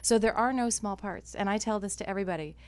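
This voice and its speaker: A woman's voice: medium pitch, quick pace. Bubbly woman interview guest, mid-range voice, laughs easily. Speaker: guest dialogue coach. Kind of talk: casual interview chat.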